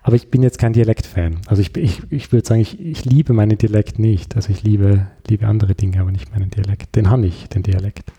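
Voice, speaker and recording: A man's voice: on the low side, fairly quick, hardly any pauses, mellow, breathy edge. A man with an Austrian accent chatting casually as an interview guest, thinking aloud and often searching for his words. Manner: hesitant, reflective, searching for words.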